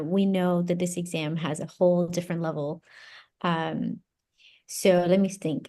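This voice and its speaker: A woman from Brazil, a native Portuguese speaker, giving casual interview answers and haltingly thinking aloud. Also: on the low side, warm tone. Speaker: interview guest, psychology doctoral graduate.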